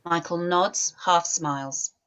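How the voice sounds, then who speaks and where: A woman narrating stage directions in a script table read, measured and descriptive. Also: lowish voice, steady tone, fairly bright tone, smooth.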